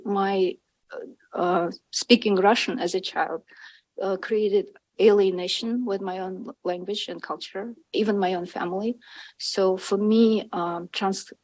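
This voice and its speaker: A woman from Kazakhstan, a native Kazakh speaker, telling her story as a literary translator on a panel, thoughtful and pausing often. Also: medium pitch, a little mellow, breathy edge, poor audio. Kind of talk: personal account on a panel. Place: university symposium event.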